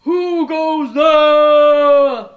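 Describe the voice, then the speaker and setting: A huge, boastful storybook ogre who booms out his lines and scoffs, as a woman puts him on. Loud, slow and mocking. A fairy tale told aloud for children. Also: narrow, tinny audio. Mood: booming. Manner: booming, scornful.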